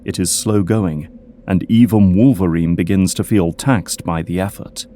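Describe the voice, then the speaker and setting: Mellow, lowish male dramatic narrator. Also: fairly even intonation, moderate pace, slight background noise.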